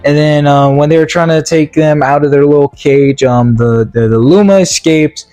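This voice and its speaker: A man giving a casual, opinionated movie review as a video commentator, in a halting, repetitive, slangy way. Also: on the high side, mellow, faint music behind.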